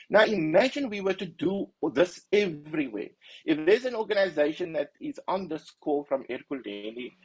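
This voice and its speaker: A man's voice: on the high side, darkish tone, slightly rough, dry recording, low-quality recording. A man presenting at an online webinar, earnest and urging, in an informal way. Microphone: video call.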